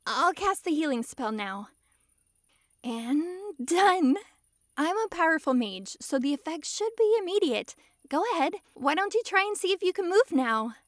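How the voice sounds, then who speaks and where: A woman's voice: high voice, big pitch swings, a little slow, no room sound, clean studio sound. A woman playing a possessive, lovestruck fox-girl mage in an intimate ASMR roleplay, sweetly controlling and giggly. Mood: pleased, romantic. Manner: sweetly controlling, giggly, swings between gushing and hushed coaxing. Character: possessive, lovestruck young-sounding fox-girl mage, dominant yet giddy.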